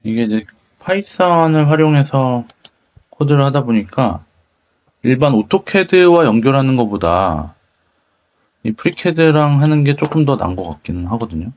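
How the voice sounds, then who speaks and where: A man's voice: mid-range voice, big pitch swings, moderate pace, darkish tone. A man hosting a tech demo, thinking aloud in a casual, musing, tentative way as he comments on his screen. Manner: musing, tentative, murmuring to himself. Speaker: host of a tech video channel. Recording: dull, lo-fi microphone sound.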